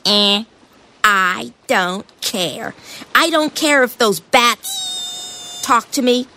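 A woman's voice: on the high side, expressive, slow, bright, forceful tone, heavily acted. A woman voicing a grumpy, boastful male turtle in a storybook tale, grumbling dismissively.

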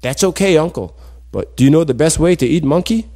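A sly young nephew from a folktale, played by a male storyteller. His pitch is fairly high and moves around a lot. He coaxes coolly, stating wild claims as plain fact. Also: lively intonation, fairly quick, mellow. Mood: sly coaxing. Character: sly trickster nephew, cool and persuasive.

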